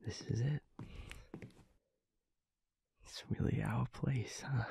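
Husky, breathy young werewolf boyfriend, acted by a man. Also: medium pitch, mellow, whispered, full character voice. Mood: awed, tender. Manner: halting, sweary, emotional murmuring to a partner. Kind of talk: intimate boyfriend roleplay audio drama.

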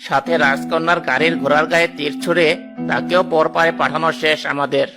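A man playing a cruel, ambitious royal minister in a fairy-tale cartoon, speaking in a forceful, menacing manner. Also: a little high, fairly even intonation, fairly quick, music in the background, close to an everyday voice. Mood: cold scheming.

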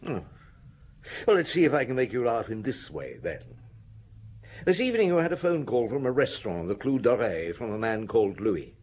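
A police detective in a radio play. His mid-pitched voice rises and dips widely as he puts measured, ironic questions with dry politeness. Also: sing-song, a little mellow, breathy edge. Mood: sardonic. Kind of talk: radio drama acting.

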